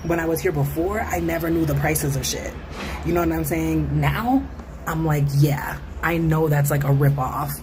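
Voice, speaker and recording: An American woman with a low voice speaks in a casual vlog monologue. Her delivery is informal and slangy, like everyday conversation. The recording has clear ambient noise behind her. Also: fairly even intonation, normal speed. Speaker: vlogger.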